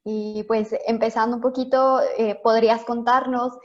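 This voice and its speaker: A woman's voice: on the high side, flat delivery, moderate pace, dull audio, soft background music. A woman co-hosting an online interview, polite and a little hesitant, with filler words. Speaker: co-host, interviewer.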